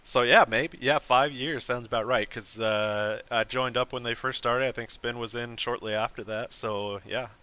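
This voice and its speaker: A man's voice: medium pitch, fairly even intonation, normal speed, hardly any pauses, sharp tone, clear, resonant tone. A man hosting a live stream, chatting casually with a guest in a rambling way full of fillers and restarts. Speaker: host.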